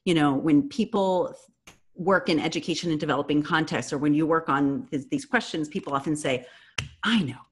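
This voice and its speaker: A woman's voice: sits low, normal speed, husky. A woman, speaking on a panel over a video call from her living room and using many "you know" fillers. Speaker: panelist, professor. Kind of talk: academic panel talk. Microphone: laptop webcam on a video call.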